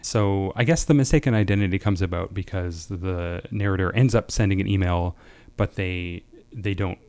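A man's voice: sits low, moderate pace, a little mellow, smooth. A man speaking as a writer, in an understated way, reading or discussing his own short fiction. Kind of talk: literary reading, then casual discussion.

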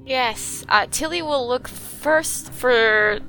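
A woman's voice: sits high, takes it slowly, bright, forceful tone. A woman playing a tabletop game, talking casually in and out of character. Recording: music playing behind the voice.

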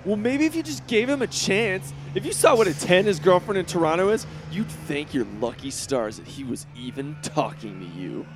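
An actor playing a boastful, cowardly young superhero who talks in a self-serving, blustery and panicky way. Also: male voice, high voice, expressive, normal speed, few pauses, over music.

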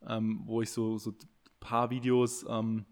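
A man's voice: fairly low pitch, completely flat delivery, a little slow. A man co-hosting a podcast in casual chat, rambling with many fillers and restarts. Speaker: podcast co-host. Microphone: podcast microphone.

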